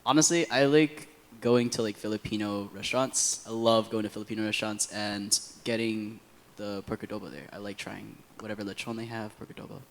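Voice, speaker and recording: A man's voice: fairly low pitch, steady tone, brisk. An eighteen-year-old man from New Jersey talking casually in a roundtable. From New Jersey, United States. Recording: reverberant room.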